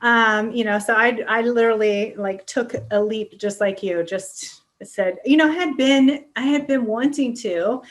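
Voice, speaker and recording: A woman's voice: fairly high pitch, a little slow, hardly any pauses. A middle-aged woman hosting a podcast, chatting informally and agreeably in a casual interview. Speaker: podcast host interviewing a guest.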